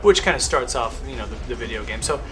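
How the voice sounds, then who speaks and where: A man's voice: mid-range voice, expressive, fast talker, noisy background. A man co-hosting a video game show, chatting casually and opinionatedly about films, repeating words for emphasis. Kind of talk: casual opinionated movie countdown chat.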